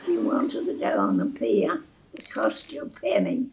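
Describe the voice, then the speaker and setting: A woman over a hundred years old, speaking in brief, slow statements. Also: a bass voice, huge pitch swings, vocal fry, darkish tone, slight background noise, poor audio. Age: 100s. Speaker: interviewee. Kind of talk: reminiscing interview.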